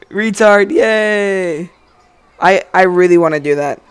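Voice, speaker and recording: Excitable young gamer with high voice. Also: male voice, slow pace, gravelly, smooth, no room sound. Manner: excitable, crude, joking. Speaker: gaming video host. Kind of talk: casual let's play commentary. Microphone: gaming headset.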